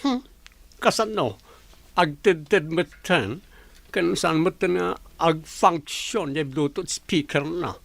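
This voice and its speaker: A woman's voice: lowish voice, slow, vocal fry, no room sound, heavily acted. A woman playing a chatty young workmate in an audio drama, bantering bluntly with an Ilocano accent. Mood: blunt, curious. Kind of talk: Ilocano radio-style drama acting.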